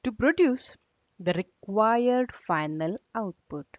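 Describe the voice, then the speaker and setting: Very slow-paced woman teacher, echoey room. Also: mid-range voice, expressive. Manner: didactic, checks understanding with short questions. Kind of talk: read-along lesson for young children. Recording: dull, echoey room recording.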